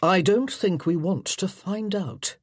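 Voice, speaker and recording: A narrator voicing a young woman who murmurs wry, quiet remarks. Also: mid-range voice, takes it slowly, no echo, studio quality. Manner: murmured asides.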